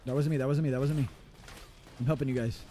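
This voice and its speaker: A man's voice: mid-range voice, steady tone, fast talker, warm tone, slight background noise. A man in his thirties streaming a game live, chatting casually and swearing while he plays. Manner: casual, profane, rambling. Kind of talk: live gameplay commentary.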